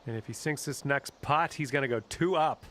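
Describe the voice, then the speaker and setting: A man's voice: mid-range voice, fairly quick, fairly bright tone. A man giving informal, colloquial golf commentary in the style of a sports video game. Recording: clear background noise.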